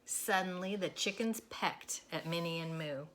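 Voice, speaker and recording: Female children's storyteller: bright voice, unhurried, dramatic, expressive read-aloud. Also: fairly low pitch. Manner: dramatic, deliberate phrasing.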